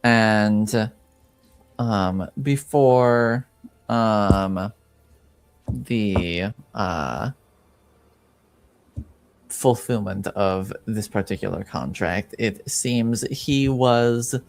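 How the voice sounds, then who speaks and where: A man acting as a formal, guarded informant in a fantasy roleplaying game. He speaks slowly in a fairly low voice, with halting, careful diction. Soft music plays under the measured delivery. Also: vocal fry, smooth, no echo, heavily acted. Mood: measured, grave. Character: formal, guarded male informant reporting intrigue in a fantasy world. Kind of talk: tabletop roleplay, improvised in character.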